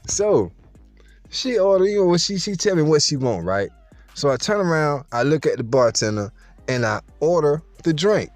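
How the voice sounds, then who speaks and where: Animated storytelling man with street slang. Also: fairly high pitch, normal speed, no echo, soft background music. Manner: streetwise, playful, boastful slang, addresses listeners directly. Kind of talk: casual storytelling to viewers.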